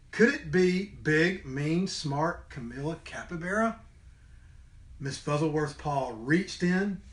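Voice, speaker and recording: High-voiced man reading a storybook aloud. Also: a bit bright. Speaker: storybook reader, teacher. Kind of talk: expressive children's read-aloud. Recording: roomy indoor echo.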